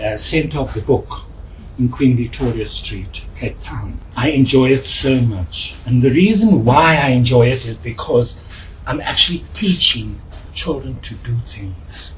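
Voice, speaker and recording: A South African man talks earnestly about his storytelling in an interview. He is halting, repeats words for emphasis, and sounds distant from the mic amid clear noise. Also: medium pitch. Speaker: volunteer children's storyteller. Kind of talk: reflective interview with animated storytelling. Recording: noisy, distant microphone.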